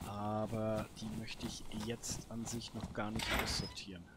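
A man's voice: lowish voice, flat delivery, measured pace, very noisy. A man giving casual gameplay commentary, thinking aloud in a colloquial, musing way. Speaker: gamer, let's play host.

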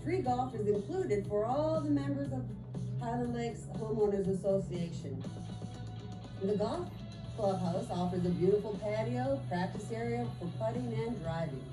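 A woman's voice: on the high side, moderate pace. A middle-aged woman, a real estate agent, reading an upbeat promotional voice-over, with a few small slips. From Florida. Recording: echoey voice-over with clear music. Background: background music.

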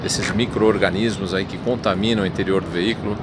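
A man's voice: low voice, fairly even intonation, brisk. A middle-aged man hosting a casual on-location demonstration, guiding along with short questions outdoors. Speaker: channel host. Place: outdoor parking lot. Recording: loud street and car noise.

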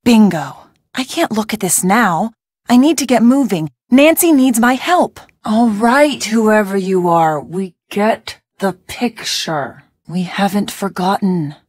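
An actress playing a wry, peppy young woman in an adventure game, expressive and colloquial, with an American accent. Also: medium pitch, takes it slowly, breathy edge, dry recording, clean studio sound. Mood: wry, matter-of-fact. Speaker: video game voice actor. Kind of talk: scripted adventure game dialogue.

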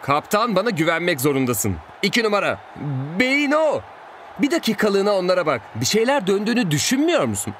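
A man voicing an excitable, suspicious, street-smart young footballer in a dubbed cartoon, talking in a slangy, animated way. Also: high voice, fairly quick, slight background noise, full character voice. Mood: urgent, cocky.

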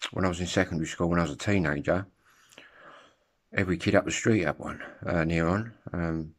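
A man in his fifties with an English accent, chatting casually and haltingly in an informal, rambling vlog. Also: low voice. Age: 50s. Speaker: CB radio hobbyist vlogger. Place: room at home. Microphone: phone at arm's length.

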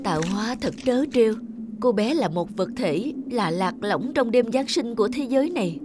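A young apprentice of death voiced by a woman in an animated fairy tale, earnest, brooding and soft-hearted. The voice is fairly high over clear music. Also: normal speed, natural voice. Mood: brooding, pitying. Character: young apprentice Grim Reaper, dutiful, secretly compassionate.

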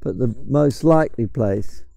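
A man's voice: mid-range voice, animated, a little slow, gravelly, dark tone, dry recording. Older Englishman explaining wild plants outdoors. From England. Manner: chatty, explanatory, hesitant restarts. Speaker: mushroom forager guide. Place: woodland outdoors.

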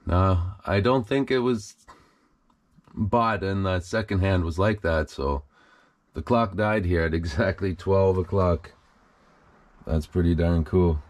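A man's voice: low voice, takes it slowly. A man hosting a casual exploring vlog, talking to viewers as he walks around with an action camera. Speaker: host of an abandoned-places exploration channel. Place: on the move through a derelict property, RV, overgrown yard and house.

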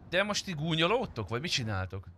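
A man's voice: fairly high pitch, very animated, bright, forceful tone, heavily acted. A man playing a cocky, evasive suspect in an improvised police roleplay game, arguing brashly. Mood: brash, indignant. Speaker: online roleplay game player.

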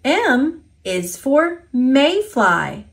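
A woman with an American accent reading an alphabet picture book aloud for toddlers, enunciating simply and deliberately. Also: high voice, very animated.

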